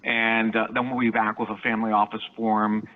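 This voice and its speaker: A man hosting an online panel, brisk and upbeat as he wraps up. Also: fairly low pitch, little pitch movement. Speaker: host, moderator. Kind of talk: panel moderation. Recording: tinny phone-like call audio.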